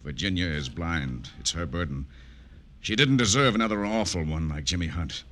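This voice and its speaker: A man playing a bitter, protective middle-aged father with a formal, measured delivery in an old-time radio drama. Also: sits low, lively intonation, bright, forceful tone. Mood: bitter, resolute.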